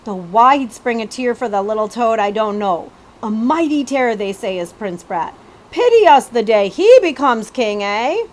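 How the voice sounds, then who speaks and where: A woman's voice: a little high, expressive, normal speed, natural voice. A woman voicing a stout old milk seller in a storybook, blunt, gossipy and exclamatory. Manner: calling out wares, exclamatory.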